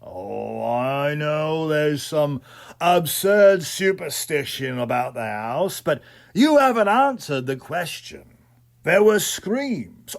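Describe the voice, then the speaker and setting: A man's voice: high voice, full character voice. A man voicing a stern coroner pressing a witness at an inquest in a story, formal and reproving.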